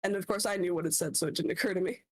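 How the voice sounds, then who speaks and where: A woman's voice: on the low side, rapid-fire, no room sound. A woman in a casual voice-call chat who swears freely, teases and laughs a lot.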